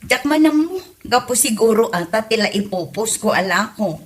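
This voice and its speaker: A woman's voice: a little high, lively intonation, normal speed, close to an everyday voice. A woman acting a complaining villager in an Ilocano comedy drama, speaking with an Ilocano accent.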